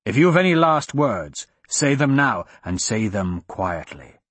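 A man voicing a cold, vengeful male elf assassin in a storybook, hissing quiet threats slowly. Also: lowish voice, very animated, slightly rough, no echo, voice pushed down, exaggerated voice. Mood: cold vengeance. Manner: hissed, slow, quiet.

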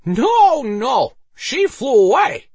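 A man voicing a drunken, mocking, defiant commoner in an audiobook, with a slurred, excitable drawl. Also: very high-pitched, takes it slowly, slightly rough, no echo, voice pushed up, exaggerated voice. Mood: gleeful, mocking.

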